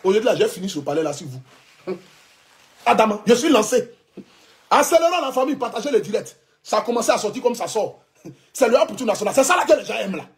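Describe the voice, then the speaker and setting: A man's voice: high-pitched, sing-song, fast talker. A man in his thirties with an Ivorian French accent, giving a fervent, repetitive, defiant livestream address as a social media blogger. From Ivory Coast. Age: 30s. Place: indoors, clothing rack behind.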